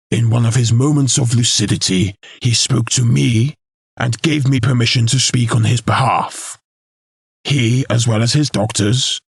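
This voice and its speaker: An actor playing a self-important, scheming noble lord in an audio drama, with formal, measured, pompous delivery. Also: male voice, medium pitch, vocal fry, breathy edge, no echo, studio quality. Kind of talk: scripted audio drama acting.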